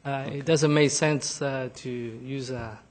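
A man's voice: mid-range voice, steady tone, slow. A man at a podium in a council chamber, answering questions in an unsure way and trailing off. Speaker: applicant speaking at a podium.

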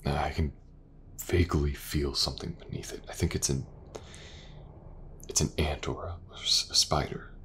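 A man playing a gentle, reassuring detective in an intimate audio roleplay, speaking softly and hesitantly, often near a whisper. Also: very deep voice, fairly even intonation, normal speed, long pauses. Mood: hesitant, tender. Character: gentle, reassuring male detective with an injured eye.

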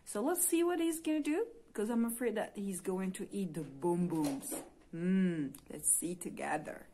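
Slow-talking woman teaching small children. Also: medium pitch, lively intonation, dark tone, a little hiss or hum. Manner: simple, repetitive, encouraging. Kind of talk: puppet-show lesson for small children. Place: indoor room by a curtained window.

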